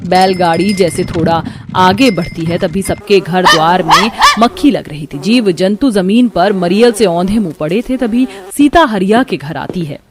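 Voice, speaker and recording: A woman's voice: on the low side, normal speed, background noise. A woman narrating a scripted story in a dramatic storytelling manner.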